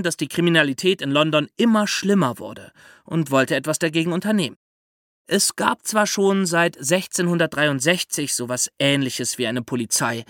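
A man's voice: a little high, fairly bright tone, no room sound, clean studio sound. A man hosting a podcast, explaining things conversationally and addressing young listeners directly. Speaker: podcast host.

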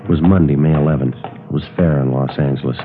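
An actor with an American accent playing a terse, matter-of-fact police detective in a 1950s radio drama, deadpan and businesslike, on a muffled old recording. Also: male voice, rumbling low, quick pace, music in the background. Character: terse, matter-of-fact male police detective sergeant narrating his case.

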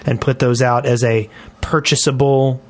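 A man's voice: medium pitch, little pitch movement, moderate pace. A man co-hosting a gaming podcast, explaining things casually in a slightly hesitant way. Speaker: podcast co-host.

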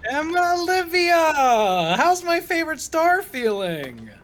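A man's voice: very high-pitched, sing-song, takes it slowly, fairly bright tone, faint music behind, heavily acted. A man playing a frantic, needy agent, wildly swooping voice. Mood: wheedling. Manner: theatrical, wheedling. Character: desperate, needy, flustered male talent agent. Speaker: actor. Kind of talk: audio comedy drama acting.

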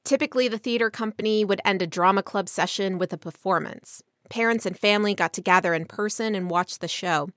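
A woman's voice: mid-range voice, fairly quick, hardly any pauses, fairly bright tone, no room sound. Woman news reporter, mid-range voice, scripted radio narration, tight dry sound.

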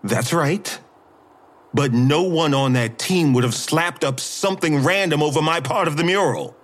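A man's voice: a little high, measured pace, natural voice. A man playing an irritable, defiant mural artist in an audio drama, guarded and combative in his curt replies. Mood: defensive.

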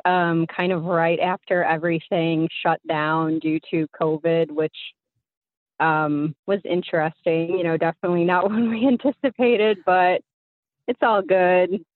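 Tinny phone-line caller: young American woman, slightly low voice, chatty, hesitant storyteller. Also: steady tone, unhurried, no room sound. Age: young adult. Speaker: phone-in guest. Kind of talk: casual personal storytelling. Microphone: phone call.

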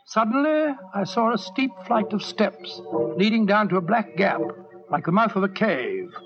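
An actor playing an earnest, upright doctor who narrates in a dramatic, measured way in a 1940s-style radio drama. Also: male voice, high voice, big pitch swings, music in the background. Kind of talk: 1940s radio acting, first-person narration. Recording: dull vintage radio recording.

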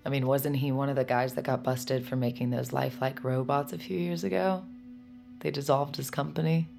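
An anxious young American woman in a naturalistic audio drama. Her low voice has a marked creak, and she talks bluntly and emotionally, like a lover who cannot believe what she hears. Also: fairly even intonation, a little fast, a little mellow, soft background music. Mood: disbelieving.

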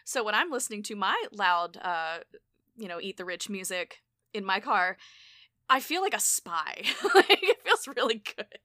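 Bright, animated woman podcast host. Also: medium pitch, moderate pace, dry recording, clean studio sound. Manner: animated, frequent restarts and exasperated asides. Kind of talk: casual conversation.

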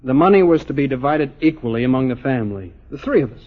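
A coolly self-interested heir from a vintage radio mystery, acted by a man. He talks quickly and glibly with assertive confidence, over a tinny, narrow old broadcast sound. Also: mid-range voice, expressive, close to an everyday voice. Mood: smooth, assured. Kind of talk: 1950s radio drama acting. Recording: tinny, band-limited old radio recording.

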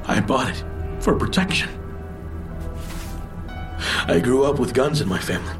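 Casting: actor for wounded, remorseful man, mid-range voice, halting confessional delivery, audio drama with prominent score. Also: medium pitch, animated, close to an everyday voice. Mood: defensive. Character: Diego, guilt-ridden grieving man confessing, bitter, wounded. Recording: music playing under the voice.

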